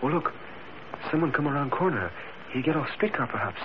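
Actor as lively sidekick driver in broken English. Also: male voice, a little high, brisk, close to an everyday voice. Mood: alert, puzzled. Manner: clipped broken English with dropped words. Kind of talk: 1940s radio adventure acting. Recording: muffled, hissy old radio recording.

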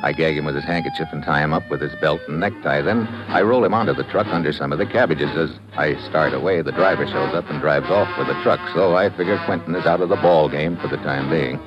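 An actor playing a tough, wry detective in an old-time radio drama, with a hardboiled, slangy delivery. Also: male voice, very deep voice, fast, few pauses, music in the background. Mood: dry, narrating. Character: tough, wry male American detective narrating his case. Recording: noisy, muffled vintage radio recording.

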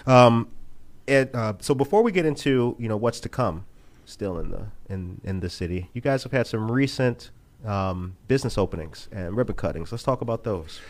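A man's voice: lowish voice, animated, normal speed. A man from the Chicago area hosting an interview, chatty and upbeat as he asks questions, with frequent fillers. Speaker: host.

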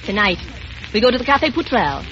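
An actress playing a shrewd, coaxing young princess in old radio comedy style. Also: female voice, fairly high pitch, very animated, quick pace, a bit bright. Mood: practical. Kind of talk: 1930s-40s radio comedy acting. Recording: noisy, muffled old radio recording.

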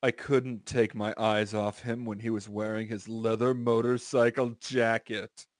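A man's voice: lowish voice, little pitch movement, a little slow, dry recording, studio quality. An American man hosting a podcast, giving a comic dramatic reading of a story and breaking off into casual asides.

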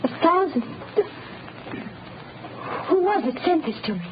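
A worried, gentle young wife in a vintage radio play. Her voice is high and anxious. The recording is muffled, with obvious hiss. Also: lively intonation, a little slow. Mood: astonished. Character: anxious, tender young mother, condemned man's wife. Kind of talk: 1930s radio drama acting.

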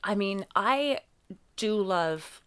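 A clear, smooth-spoken woman with an American accent faintly touched by English, the kind of guest heard chatting on a relaxed creative podcast. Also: mid-range voice, extremely slow. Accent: mostly American with occasional English traces. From England. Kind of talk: casual conversational interview.

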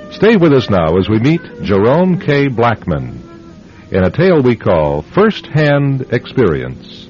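A man hosting a radio drama, reading scripted narration in a measured, suspenseful, theatrical way. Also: fairly low pitch, darkish tone. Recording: dull lo-fi radio recording, music bed. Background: music.

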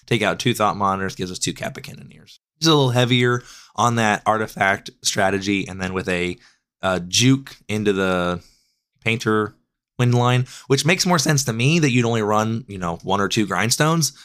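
A man with a raspy voice, hoarse from a cold, casually breaking down card game decklists as a podcast host, often correcting himself mid-sentence. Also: on the low side, moderate pace, fairly bright tone. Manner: loose, rambling with frequent self-corrections. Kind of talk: casual deck analysis.

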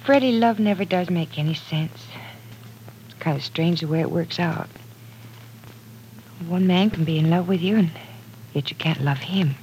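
An actress playing an earnest, gentle young woman caught up in love troubles in an old radio drama, soft and breathy at times. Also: fairly low pitch, normal speed, pauses often, a little hiss or hum, lightly acted, rough recording. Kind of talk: 1940s radio acting.

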